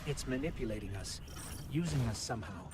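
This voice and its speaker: An actor playing a small floating robot companion in a video game, earnest, cautious and keen to explain. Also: male voice, medium pitch, expressive, brisk, heavy background noise, lightly acted. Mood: suspicious. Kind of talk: dramatic game voice acting. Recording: loud game music behind voice.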